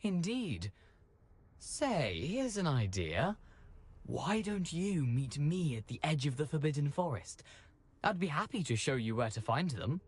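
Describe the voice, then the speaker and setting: An actor playing the jaunty, theatrical ghost of a beheaded gentleman in a video game, with a British accent and a flowery, wry, genteel delivery. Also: on the high side, big pitch swings, measured pace, breathy edge, exaggerated voice. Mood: eager, helpful.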